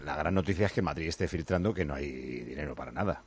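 A man on a sports talk panel, laying out his argument in a measured way. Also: rumbling low, husky. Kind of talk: sports talk show debate.

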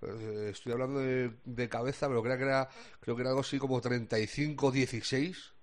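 A male basketball commentator with an accent from Spain and a mid-range voice. He talks casually and colloquially, halting often as he thinks aloud. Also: mid-range voice, steady tone. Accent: Spanish from Spain. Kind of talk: casual sports discussion.

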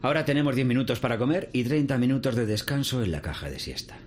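An actor with a Castilian Spanish accent playing a world-weary middle-aged seaweed farm worker in conversational radio drama. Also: male voice, medium pitch, lively intonation, quick pace, a little mellow, natural voice. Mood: friendly, practical. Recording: faint background noise and ambience.

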